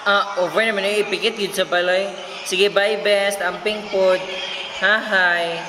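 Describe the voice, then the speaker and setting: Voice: medium pitch, fairly even intonation, measured pace, background noise, natural voice. A performer playing a young provincial student, casual and playfully exasperated with friends, in an amateur radio drama recorded in an echoey room.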